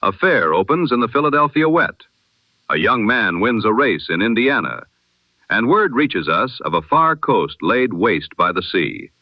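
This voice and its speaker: A man reading the day's news as a formal, measured 1920s radio bulletin. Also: fairly low pitch, big pitch swings, no room sound. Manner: formal, measured, rhetorical delivery. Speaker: newsreader. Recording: dull lo-fi radio-style sound.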